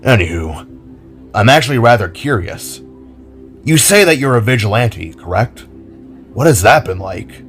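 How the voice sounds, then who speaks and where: A man's voice: medium pitch, big pitch swings, a little slow, long pauses, fairly bright tone, faint music behind. A man voicing an orb-headed spark creature, a reserved, teasing but encouraging big brother, in an audio drama. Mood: curious.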